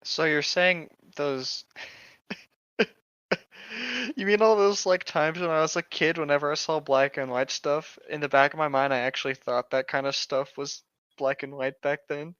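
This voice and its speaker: A man's voice: on the high side, fairly even intonation, normal speed, a bit bright, clear, resonant tone, no echo, highs cut off. A man reading aloud casually and chatting as a comedy co-host, with wry, rambling asides. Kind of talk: casual read-aloud with joking banter.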